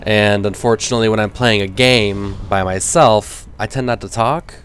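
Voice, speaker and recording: A man's voice: lowish voice, moderate pace, fairly bright tone. A man in his thirties with a cold that leaves him nasal, giving rambling, self-deprecating casual gameplay commentary. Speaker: gaming commentator.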